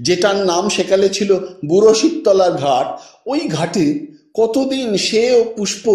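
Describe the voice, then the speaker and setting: Man, audiobook narrator, very lively swooping intonation, measured dramatic phrasing, echoey room, away from the mic. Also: high-pitched. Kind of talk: expressive literary story narration. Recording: roomy echo, distant from microphone.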